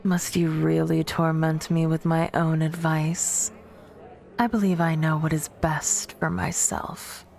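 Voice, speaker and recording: A woman playing a proud, bitter vampire warrior in an ASMR audio roleplay, speaking in a breathy, often whispered voice with haughty, cutting formality. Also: low-pitched, little pitch movement, slow, darkish tone, soft background music, exaggerated voice. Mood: stern, stubborn.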